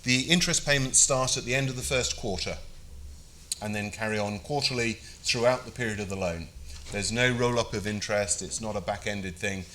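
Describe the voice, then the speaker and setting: A man's voice: fairly low pitch, fairly quick, bright, forceful tone, slight background noise. A British man presenting a funding briefing to a live audience, chatty and self-deprecating with frequent fillers.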